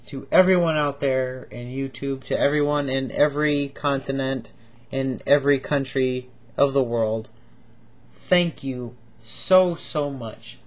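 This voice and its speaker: A man's voice: mid-range voice, muffled recording. A man with a cold reviewing toy figures in a casual home video, rambling and enthusiastic with lots of ums and repeats. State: stuffed up with a cold, coughing.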